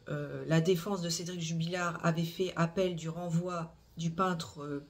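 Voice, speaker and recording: A mid-pitched voice explaining a case step by step to an online audience. The talk is measured but hesitant, full of filler sounds, small restarts and tag words at the ends of phrases. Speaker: true-crime channel host. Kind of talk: recounting a criminal case to viewers.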